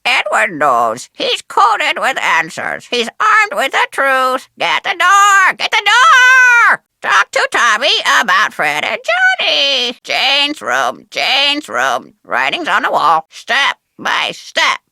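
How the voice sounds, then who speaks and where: Talking parrot for a video game: high, hugely animated, bossy riddler, squawky sing-song repeats. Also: female voice, takes it slowly, gravelly, hardly any pauses, dry recording, clean studio sound, exaggerated voice. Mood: cryptic, teasing. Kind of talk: exaggerated video game character acting.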